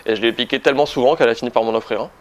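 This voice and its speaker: A man's voice: mid-range voice, fairly even intonation, racing. A man in his late twenties from Toulouse, chatting casually and with some self-deprecation as he is interviewed. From Toulouse, France. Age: late 20s. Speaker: interviewed photographer.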